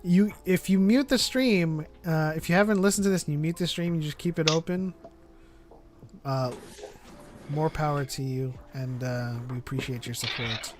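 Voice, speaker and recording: A man with a fairly high voice hosts a relaxed fan stream. He chats informally with co-hosts and viewers. Faint music plays underneath. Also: moderate pace. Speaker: host of a fan livestream. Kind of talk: casual group discussion and reading viewer chat.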